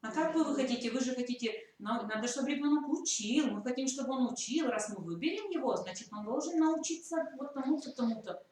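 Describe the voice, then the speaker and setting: A woman's voice: sits high, lively intonation, fast, hardly any pauses, darkish tone. Woman teacher, echoey room. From Komi Republic. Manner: explains at length, thinking aloud. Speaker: teacher at a methods meeting. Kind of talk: work meeting discussion. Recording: echoey room from far off, faint noise.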